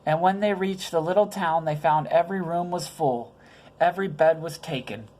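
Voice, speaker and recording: A woman's voice: fairly low pitch, normal speed, a little mellow, rough recording. A woman reading a children's Bible story aloud, with informal, conversational asides in simple words. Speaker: storybook reader.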